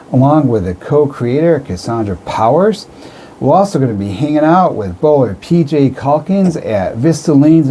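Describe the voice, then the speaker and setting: A man's voice: medium pitch, dark tone. An American man hosting a radio show, presenting segments and doing interviews.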